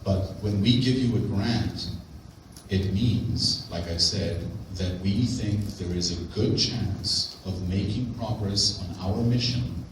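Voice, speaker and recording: A man presenting on grants at a conference in a hotel conference room, speaking in a clear, explanatory and emphatic way. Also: low-pitched, slow pace, a little hiss or hum. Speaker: grant program officer. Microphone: handheld mic, far camera. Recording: very echoey room from far off.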